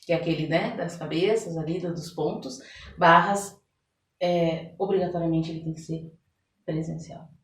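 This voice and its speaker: A woman's voice: lowish voice, steady tone, moderate pace. A Brazilian woman explaining things as a card reader and interview guest, repeating phrases for emphasis. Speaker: podcast guest, oracle card reader and holistic therapist. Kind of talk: card reading and interview answers. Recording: echoey room with music behind.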